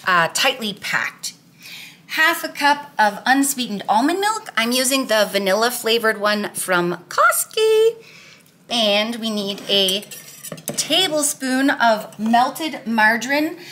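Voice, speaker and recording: A woman in her thirties talks through a recipe in a casual, chatty way, leaning on "like" as she goes. Her voice is bright and sits a little high. It is recorded on a camera at mid distance in a home kitchen. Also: slight background noise. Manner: chatty and upbeat, lots of "like". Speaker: weight-loss cooking vlogger.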